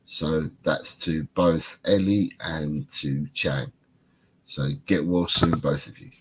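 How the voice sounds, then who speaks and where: Male British host, very low husky voice, stuffed-up and congested, rambling casual chat. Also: slow, low-quality recording. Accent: British English. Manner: rambling, repeats himself. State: congested, bunged up. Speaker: podcast host.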